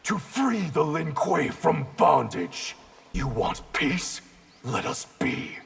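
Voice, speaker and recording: An actor playing a proud, bitter ninja clan grandmaster in a video game, with a gravelly voice and a haughty, forceful manner. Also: male voice, a little high, very animated, slow, slight background noise, heavily acted. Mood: defensive. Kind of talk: video game fight-intro dialogue.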